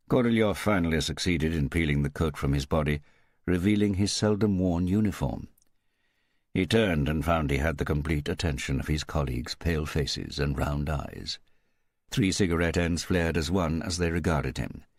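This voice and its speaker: A man reading a novel as an audiobook narrator in a measured storytelling manner. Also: very deep voice, dry recording, clean studio sound.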